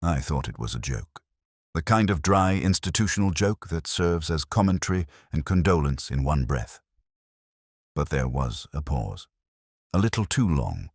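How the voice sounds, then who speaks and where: Deep male narrator, dry close sound, measured and reflective, lively intonation, scripted mystery read. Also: breathy edge, no room sound.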